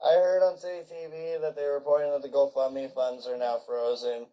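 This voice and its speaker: A man from Canada calls into a live voice chat and speaks earnestly. His voice is mellow and mid-pitched. The audio is muffled and lo-fi. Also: little pitch movement, a little fast. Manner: earnest, heartfelt. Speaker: caller.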